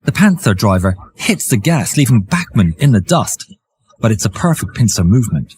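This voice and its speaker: A man reading dramatic scripted war history narration in a theatrical, suspenseful way, with a husky, raspy voice. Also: fairly low pitch, sing-song, moderate pace, a little mellow.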